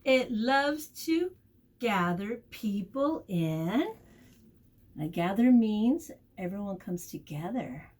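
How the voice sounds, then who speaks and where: Very slow, animated woman teaching young children. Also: medium pitch. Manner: pauses to question and encourage young listeners; deliberate, repeats key words. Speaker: preschool teacher. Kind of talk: storytime read-aloud lesson for young children.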